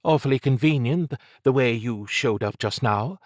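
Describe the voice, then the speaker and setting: Man as a wary woman investigator, raised pitch. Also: normal speed, no echo, studio quality, natural voice. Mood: suspicious. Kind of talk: audiobook character acting.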